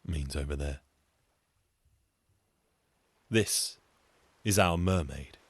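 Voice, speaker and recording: A man's voice: very deep voice, unhurried, breathy edge, dry recording. A man with a British accent telling a scripted comic story in a wry, chatty way, full of asides. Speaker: storyteller and singer.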